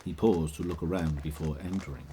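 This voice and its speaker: A man narrating an audio drama of a literary classic, expressive and measured in his storytelling. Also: very deep voice, very noisy. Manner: expressive, measured, old-fashioned literary phrasing. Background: music.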